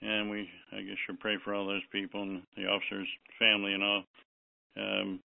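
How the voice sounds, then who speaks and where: Guy on a phone call, low creaky voice, folksy, rambles and hesitates. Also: monotone, normal speed. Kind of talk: casual, rambling call talk. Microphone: telephone. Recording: thin, narrow phone line.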